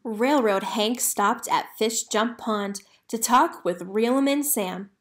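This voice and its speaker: Lady with a highish voice reading a kids book out loud, animated storyteller style. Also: takes it slowly. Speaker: storybook reader. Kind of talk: expressive children's read-aloud narration.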